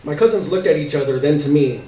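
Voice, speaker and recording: A man's voice: fairly high pitch, quick pace, warm tone. A man giving a live dramatic reading of first-person literary fiction on stage. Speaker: reader of a short story. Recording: echoey room, distant mic, background noise.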